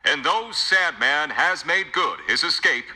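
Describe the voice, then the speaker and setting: A man's voice: a little high, expressive, a little slow, sharp tone, heavily acted. A man voicing a dramatic television news broadcaster in a comic book dub, in announcer style with long reverb. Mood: urgent, dramatic. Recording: long reverb, music behind.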